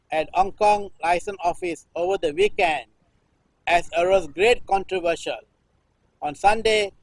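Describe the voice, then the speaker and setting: A man in his sixties from Singapore, a performance artist reading news text aloud in halting runs, restarting phrases and repeating a refrain. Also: high-pitched, creaky, dry recording, poor audio. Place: temple-like cage at an art festival.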